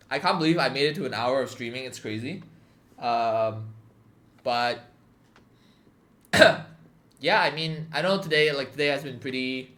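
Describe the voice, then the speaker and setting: A man's voice: mid-range voice, sharp tone. A young man chatting casually on a live stream, rambling with many fillers and swearing freely. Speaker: livestreamer.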